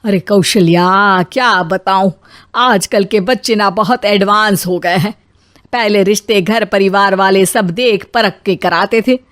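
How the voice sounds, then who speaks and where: A woman voicing a quarrelsome, taunting middle-aged Indian mother-in-law in an animated family drama. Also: medium pitch. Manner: taunting, melodramatic complaining.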